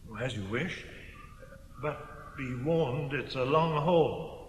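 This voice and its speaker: A man's voice: mid-range voice, slow pace, breathy edge. An actor playing an earnest, anxious village clergyman in a British radio drama, speaking formally and insistently. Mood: guardedly uneasy.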